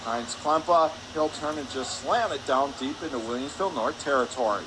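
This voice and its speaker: A man's voice: medium pitch, moderate pace. A man doing live radio play-by-play of ice hockey in short, emphatic running phrases. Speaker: play-by-play commentator. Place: indoor ice hockey arena. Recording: noisy arena ambience behind.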